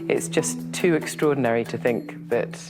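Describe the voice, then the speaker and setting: A woman's voice: extremely low pitch, normal speed, music in the background, poor audio. A woman being interviewed in a large brick-walled room, thinking aloud with hesitant restarts. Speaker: interviewed philosopher. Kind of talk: documentary interview.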